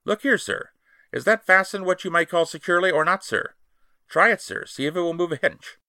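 Nervous servile steward, voiced by a man reading, high and bright. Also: brisk, no room sound, studio quality. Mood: pleading. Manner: wheedling, servile, dropped h's. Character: frightened cockney ship's steward, nervous.

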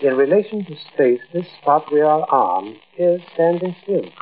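An actor playing a courteous, solemn older astronomer who lectures in a measured, precise, formal way, in 1940s radio style. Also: male voice, fairly high pitch, big pitch swings. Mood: solemn, grave. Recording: muffled, hissy old-time radio recording.

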